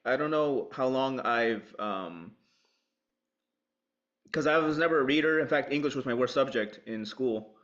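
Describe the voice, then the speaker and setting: A man from Peru speaking casually and haltingly as a podcast guest, with dull lo-fi sound. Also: mid-range voice, fairly bright tone. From Lima, Peru. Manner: halting, hesitant delivery. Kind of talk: casual self-introduction.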